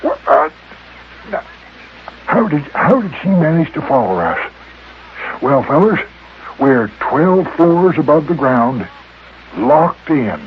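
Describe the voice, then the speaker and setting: A man as a flustered, blustering mayor, raised pitch. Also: big pitch swings, takes it slowly, long pauses, highs cut off, slight background noise. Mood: bewildered. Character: blustering, flustered older mayor dodging marriage. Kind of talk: old-time radio comedy acting. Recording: band-limited old radio recording.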